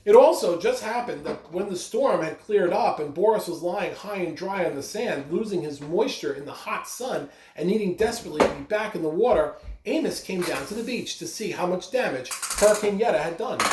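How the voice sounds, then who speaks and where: Storybook reader for a kids' read-aloud, expressive delivery, mid-pitched, roomy sound with noticeable background noise. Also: normal speed. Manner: expressive, with brief asides to listeners.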